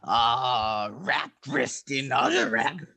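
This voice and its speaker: A man voicing a garbled comic narrator who reads halting, repetitive descriptions in broken speech, mixing up and dropping sounds. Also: mid-range voice, very animated, creaky, sharp tone, exaggerated voice. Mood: halting, clownish. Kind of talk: comic dramatic reading played for laughs.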